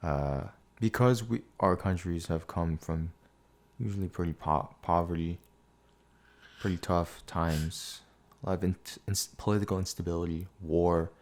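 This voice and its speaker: A sleepy young man hosting a podcast, thinking before he speaks, with long pauses and restarted words. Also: low voice. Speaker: podcast host. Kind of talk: casual podcast chat.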